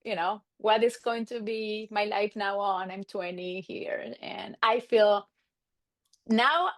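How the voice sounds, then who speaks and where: A woman's voice: mid-range voice, takes it slowly, dry recording, clean studio sound. A middle-aged woman from Argentina, a native Spanish speaker with an Argentine accent, telling stories casually as an interview guest and acting out quoted exchanges. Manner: animated, acts out quoted exchanges. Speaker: guest, marriage and family therapist.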